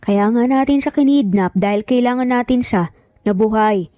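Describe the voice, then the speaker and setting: A woman's voice: on the high side, normal speed, warm tone, dry recording, studio quality, natural voice. A woman voicing a controlling, businesslike male kidnapper boss in story dialogue. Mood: questioning, stern.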